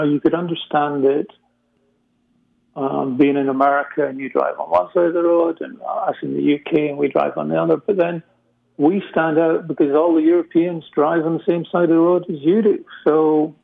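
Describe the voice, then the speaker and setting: A man's voice: fairly high pitch, steady tone, moderate pace, vocal fry. A Scottish man, a guest in a casual interview, talking with many hesitant fillers over a remote call. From Scotland. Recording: tinny phone-like remote line.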